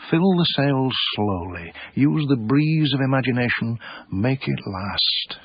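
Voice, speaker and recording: An actor playing a wry, literary-minded man narrating his story in a radio drama, deliberate and knowing. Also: fairly low pitch, breathy edge, no room sound. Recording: slightly dull, very dry radio recording.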